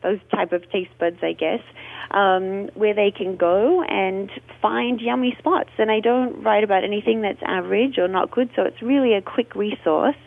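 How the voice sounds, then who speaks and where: A woman's voice: medium pitch, normal speed, creaky. A woman, a guest in a radio interview, telling her story in a chatty, expansive way. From Australia. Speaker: radio guest, food blogger. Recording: tinny phone line.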